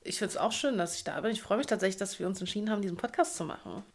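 A woman's voice: mid-range voice, expressive, very fast. A woman in her thirties from Berlin, co-hosting a chatty podcast in a playful, teasing way and laughing often. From Berlin, Germany. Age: 30s. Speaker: podcast co-host. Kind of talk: casual chat.

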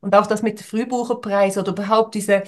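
A woman's voice: mid-range voice, steady tone, fairly quick. A woman hosting an informal interview, thinking aloud in short phrases as she puts her questions. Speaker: interview host. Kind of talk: casual conversational interview.

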